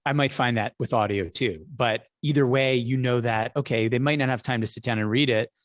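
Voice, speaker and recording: Male podcast host, mid-pitched and conversational, a practised speaker, recorded dry with little room sound. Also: brisk, no room sound.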